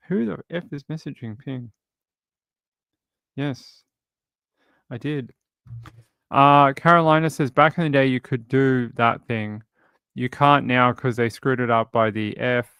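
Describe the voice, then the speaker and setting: A man's voice: medium pitch, fairly bright tone, clear, resonant tone, no echo, clean studio sound. A man from Sydney with an Australian accent, hosting a livestream in casual, rambling chat with his viewers. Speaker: livestream show host.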